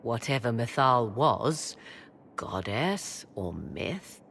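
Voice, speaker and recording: Arcane witch for fantasy game: imperious, theatrical, very lively intonation. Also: rumbling low, creaky, a little hiss or hum, full character voice. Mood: probing. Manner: haughty, theatrical, measured. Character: proud, mysterious female witch with arcane knowledge. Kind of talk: video game voice acting.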